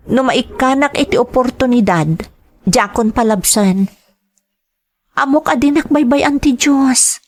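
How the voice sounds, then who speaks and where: A woman acting a determined, dutiful young adult daughter in an audio drama, with an Ilocano Filipino accent. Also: high voice. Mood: earnest, hopeful. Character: determined, dutiful grown daughter seeking work abroad.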